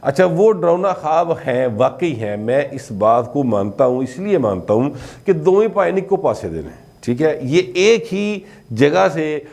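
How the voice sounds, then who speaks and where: A man's voice: a little high, big pitch swings, unhurried, creaky, hardly any pauses, a little mellow. A man with a Pakistani Punjabi accent giving conversational, informal commentary as a political commentator. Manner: conversational, slipping between Urdu and Punjabi.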